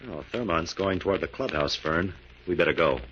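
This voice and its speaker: A man's voice: low voice, a little fast, a bit bright. A man playing a wry, confident detective in an old radio drama, teasing and self-assured. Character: calm, wry, confident male amateur detective-scientist. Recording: dull lo-fi old radio, faint noise.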